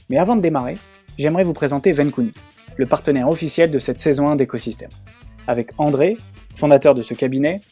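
A man hosts a podcast, his voice mid-pitched and mellow. He reads a scripted intro and then asks questions, often trailing off on fillers. Faint music plays behind him. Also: husky. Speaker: podcast host.

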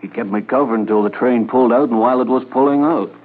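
Male actor as dutiful railroad policeman, medium pitch, clipped reporting, vintage radio drama, narrow phone-like sound. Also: quick pace, lightly acted. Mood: urgent, factual. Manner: clipped, factual. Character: plain-spoken, dutiful railway policeman reporting in. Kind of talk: 1950s radio drama acting. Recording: tinny old radio with faint noise.

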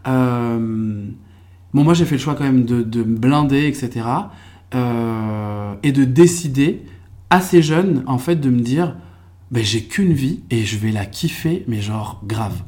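A young man from France talks through his story as a podcast guest. He sounds relaxed and reflective, with a mid-pitched voice, and often stops on fillers before he finishes a thought. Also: slow. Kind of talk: casual conversational storytelling.

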